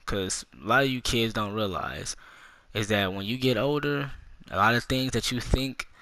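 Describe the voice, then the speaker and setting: A man's voice: medium pitch, normal speed, pushed and bright, dry recording. A man in his twenties ranting casually and with a lot of swearing, talking straight to young viewers as a gaming YouTuber. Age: 20s. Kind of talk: casual storytime rant.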